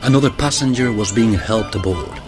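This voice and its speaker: An actor playing a wry, self-assured opera singer who also narrates a period audio drama in a measured, sly way. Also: male voice, fairly low pitch, over loud music, natural voice. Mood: measured narration.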